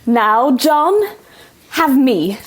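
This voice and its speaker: A woman's voice: high voice, lively intonation, drawn-out words, heavily acted. A woman playing a stubborn, pleading little boy, the youngest sibling, in an audio drama. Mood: wounded, pleading. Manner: whiny, pleading.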